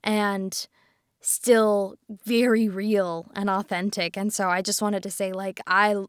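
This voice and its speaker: A woman's voice: medium pitch, little pitch movement, takes it slowly, smooth, no echo, studio quality. A woman voice actor chatting casually and earnestly in a cast discussion. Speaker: voice actress on a cast panel. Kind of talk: casual chat.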